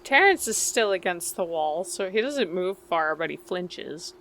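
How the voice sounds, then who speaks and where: A woman's voice: fairly low pitch, expressive, measured pace, a bit bright. A woman playing a tabletop roleplaying game, casually narrating what her character does. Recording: faint noise behind voice.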